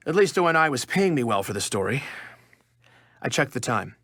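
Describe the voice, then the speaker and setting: A man's voice: medium pitch, sing-song, fairly quick, fairly bright tone, breathy edge, dry recording, exaggerated voice. A man playing a proud, wronged journalist, telling his story in a confiding, wry first-person audio drama narration. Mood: wry, tense. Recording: music under the voice.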